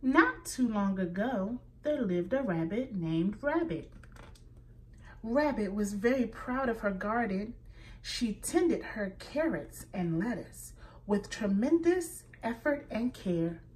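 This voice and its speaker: A woman reading a children's picture book aloud, slow and expressive, pointing out letter sounds for young listeners. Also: medium pitch, poor audio. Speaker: children's story reader.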